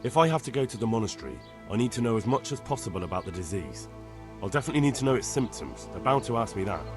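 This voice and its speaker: An actor playing an earnest young medieval envoy in a video game, direct and polite. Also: male voice, lowish voice, sing-song, fast, music in the background. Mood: determined.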